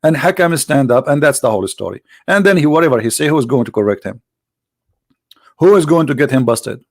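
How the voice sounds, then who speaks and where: A man's voice: animated, a little fast, no echo, studio quality. Mocking male polemicist with a cold. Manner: mocking, rhetorical, swings between loud emphasis and low asides. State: slight cold. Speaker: host of a religious debate channel. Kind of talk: polemical livestream talk.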